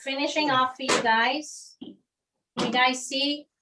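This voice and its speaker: A woman's voice: high-pitched, steady tone, slow pace, noisy background. A woman demonstrating a recipe on a video call, enthusiastically describing each step. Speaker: home cook demonstrating a recipe. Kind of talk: cooking demonstration. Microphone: distant mic on a video call. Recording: distant mic on a video call.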